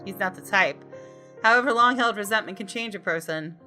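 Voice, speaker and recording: A woman, a gaming streamer reading visual novel narration aloud in an expressive, dramatic way. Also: medium pitch, brisk. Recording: music behind the voice. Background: game music.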